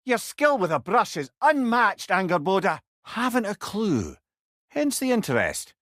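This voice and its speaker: A man's voice: high-pitched, wildly swooping pitch, a little slow, a bit bright, no room sound, clean studio sound, exaggerated voice. A man playing a wise, wry old advisor in a video game, a chatty and learned storyteller with a Scottish accent. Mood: wry, musing. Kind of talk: video game character dialogue.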